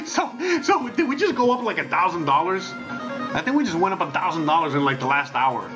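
Rambling man over loud music. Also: on the high side, very animated, fairly quick. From Miami, United States. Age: 30s. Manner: rambling, swears freely, drops Spanish phrases. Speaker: livestream host. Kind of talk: casual livestream chat. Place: home, wife nearby. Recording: dull lo-fi stream with loud music.

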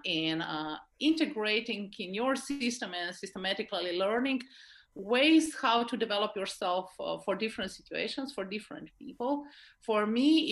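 A woman with a Slovenian accent speaks as a guest in an informal interview. She talks conversationally at a middle pitch, often tagging her points to check that the listener agrees. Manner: informal, often seeks agreement with tag questions. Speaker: interview guest, leadership expert.

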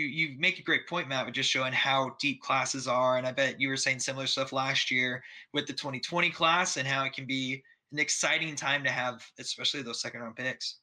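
Bright-voiced Texan podcast host. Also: male voice, medium pitch. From Texas. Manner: easygoing, filler-heavy, upbeat. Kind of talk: casual podcast interview.

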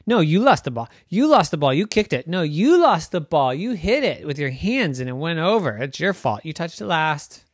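A woman's voice: low voice, sing-song, moderate pace, hardly any pauses, no room sound, studio quality, voice pushed up. A woman voicing a couple of teasing, stubborn older brothers who bicker bluntly. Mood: bossy. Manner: bossy, blunt, sing-song bickering.

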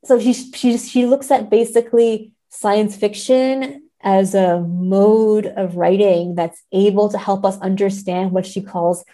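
A woman's voice: medium pitch, steady tone, measured pace, dark tone. A woman in a conversational literary discussion, speaking thoughtfully as a podcast guest and often checking in with tag questions.

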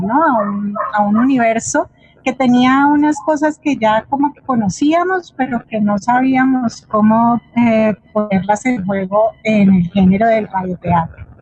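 Husky, slow-paced Colombian woman. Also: a little high, a little mellow, no echo. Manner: thoughtful, hesitant, seeks agreement with tag questions. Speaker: guest playwright and drama teacher. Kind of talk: reflective forum talk. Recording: muffled lo-fi audio.

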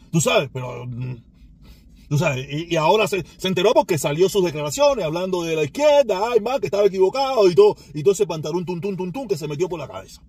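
A man's voice: high voice, a little mellow, breathy edge. A man from Cuba with a Cuban Spanish accent, giving a rambling, emphatic opinion monologue that circles back and repeats itself. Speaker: commentator.

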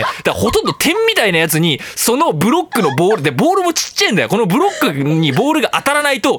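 A man's voice: high voice, slight background noise. A man hosting a casual comedic radio talk, telling stories in a self-mocking, joking way and acting out bits of dialogue. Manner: animated storyteller, acting out dialogue and game sounds. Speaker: radio talk show host. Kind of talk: comedic casual monologue about games.

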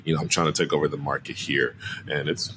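An energetic young man from Cameroon, a marketing agency owner, talking casually and upbeat as a podcast guest. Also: rumbling low, fast talker, a little hiss or hum. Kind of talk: casual interview talk.

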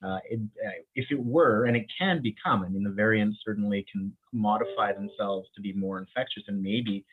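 A man with a low voice talks as an infectious disease doctor in an interview, often stopping to restart his sentences and laughing lightly now and then. He grew up in the Bahamas. The sound is thin and narrow, as if coming through a phone line. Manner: halting, many restarts and repeated words; laughs often while talking. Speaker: infectious disease physician and microbiologist. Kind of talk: interview guest, conversational.

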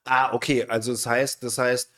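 A man's voice: mid-range voice, flat delivery, normal speed, fairly bright tone. A man hosting a podcast, asking questions casually with many fillers. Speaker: podcast host.

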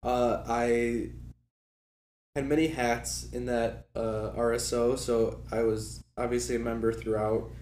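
A man's voice: mid-range voice, level tone, takes it slowly, darkish tone. A young man in his early twenties chatting casually as a guest, in a conversational and lightly self-deprecating manner. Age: early 20s. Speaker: guest, former student club officer. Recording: echoey room with faint background noise.

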